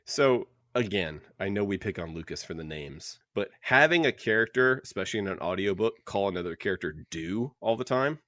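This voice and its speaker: A man's voice: lowish voice, lively intonation, a little fast, few pauses, fairly bright tone, no echo, clean studio sound. A man co-hosting a book podcast in casual discussion, opinionated and circling back over his phrases as he talks. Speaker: podcast co-host.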